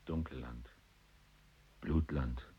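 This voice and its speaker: An actor playing a stern, doom-laden commanding voice in a war radio drama, in clipped, ominous phrases. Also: male voice, extremely low pitch, steady tone, slow. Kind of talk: terse, chanted radio drama. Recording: muffled, hissy, noisy radio production.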